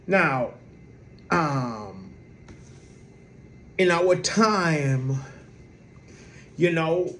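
A man with a soft voice preaching a sermon in a lively, conversational way, with many fillers. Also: a little high, slight background noise.

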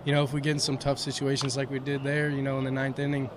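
A young man speaking in an even, flat tone, answering modestly and leaning on "you know" between thoughts. He talks into a handheld mic out in the stands of a ballpark. There is a clear wash of outdoor noise behind him. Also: medium pitch, fast talker. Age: young adult. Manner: modest, soft-spoken, full of "you know" fillers. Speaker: college baseball pitcher. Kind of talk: post-game interview. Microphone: handheld mic held by interviewer.

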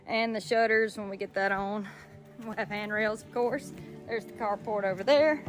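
A woman's voice: medium pitch, steady tone, moderate pace, over music, low-quality recording. A young woman with a Southern American accent giving a casual, easygoing update into a phone held at arm's length, outdoors at a house being built. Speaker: social media creator. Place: outdoors at a house under construction.

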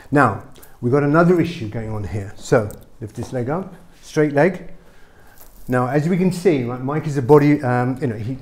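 A man's voice: medium pitch, a little mellow. Emphatic man explaining in a clinic. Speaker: chiropractor. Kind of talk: explaining a treatment to camera. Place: small clinic treatment room.

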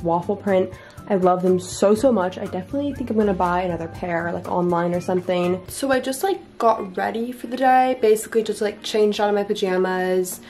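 A stuffy-nosed young woman in her late teens talks straight into a camera held at arm's length in a sunny room at home. Her chat is casual and upbeat, packed with "like", and her voice sits at a middle pitch for a woman. Faint music plays underneath. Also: a little mellow. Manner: chatty, upbeat, lots of "like". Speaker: vlogger. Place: sunroom at home, indoors.

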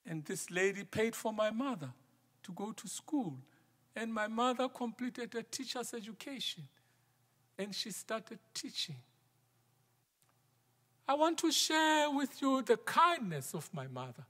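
A middle-aged male minister preaching a sermon from the pulpit, in a measured style that sometimes drops to a near whisper for emphasis. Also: very high voice, expressive, a bit bright. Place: large wooden church sanctuary, at the pulpit. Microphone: pulpit microphone. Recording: long church reverb.

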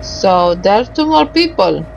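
A woman's voice: mid-range voice, big pitch swings, unhurried, lo-fi sound. A woman giving casual, reactive let's play commentary, muttering to herself and exclaiming as she plays. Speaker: gaming commentator. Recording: clear background noise and game ambience. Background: video game ambience.

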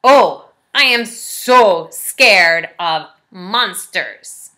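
A woman's voice: medium pitch, animated, drawn-out words, bright, forceful tone, voice pushed down, full character voice. A woman voicing a timid, lovable furry male storybook monster in a pleading, melodramatic manner. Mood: alarmed. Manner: pleading, melodramatic, exaggerated emphasis.

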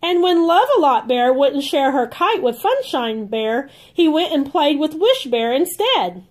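Female read-along host, expressive children's storyteller, slow careful delivery, high sing-song voice.

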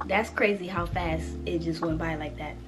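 A woman, chatting casually to camera as a vlogger, animated and talking straight to viewers, a little stuffy from a cold. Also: lowish voice, normal speed, over music. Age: 20s. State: congested with a cold.

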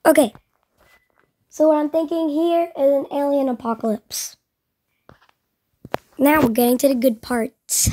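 High-pitched, slow-talking woman reacting. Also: fairly even intonation, mellow, slight background noise. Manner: musing, explains own reasoning aloud. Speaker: reaction video host. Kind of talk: casual reaction commentary.

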